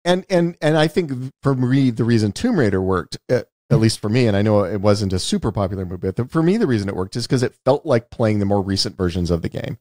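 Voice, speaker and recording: Man, low pitch, podcast co-host, thoughtful, repeats words, dry studio sound. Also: expressive, fast, few pauses, warm tone, no room sound. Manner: thoughtful, repeats words while building a point. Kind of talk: casual movie discussion.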